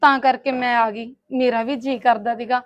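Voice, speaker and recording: High-voiced pleading younger sister played by a woman. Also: fairly even intonation, normal speed, lightly acted. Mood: hurt, pleading. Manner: pleading, conciliatory. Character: meek married younger sister, pleading peacemaker. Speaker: actor in a family drama. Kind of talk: Punjabi domestic drama dialogue.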